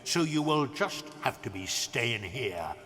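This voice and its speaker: Kindly, rambling giant for children's audio drama, emphatic and muddled, heard in long cave-like reverb. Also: male voice, mid-range voice, big pitch swings, a little slow, bright, forceful tone. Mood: warning. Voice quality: wordy, garbled grammar with mangled made-up words.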